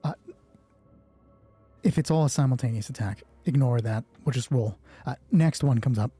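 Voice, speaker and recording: A man's voice: mid-range voice, moderate pace, warm tone, breathy edge, no room sound, faint music behind. A man game mastering a solo roleplaying game, thinking aloud through dice rolls in casual, sweary commentary.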